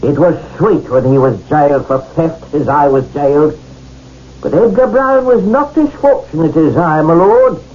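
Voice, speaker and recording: An actor playing a bitter, vengeful condemned English prisoner, mocking and theatrical in a radio drama. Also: male voice, fairly high pitch, animated, normal speed, slight background noise, close to an everyday voice. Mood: gloating. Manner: theatrical, mocking, swooping declamation. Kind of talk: 1970s radio drama acting. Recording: muffled old radio broadcast.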